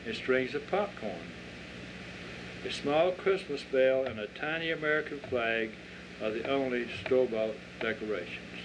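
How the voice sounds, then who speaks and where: A man narrating a scripted short story, reading out scene descriptions as the narrator. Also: medium pitch. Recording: dull lo-fi audio with faint noise.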